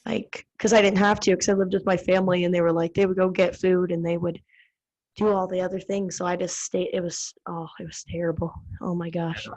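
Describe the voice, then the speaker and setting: A woman's voice: lowish voice, level tone, a little fast, darkish tone, low-quality recording. An American woman hosting a podcast, chatting casually, rambling and laughing often. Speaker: podcast host. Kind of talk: casual chat.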